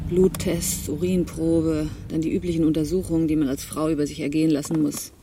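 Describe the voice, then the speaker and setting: A woman's voice: low voice, little pitch movement, fairly quick. A sardonic female accomplice in a radio crime play. She sounds weary and disgusted, with some ambient noise behind her. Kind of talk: German radio crime drama dialogue.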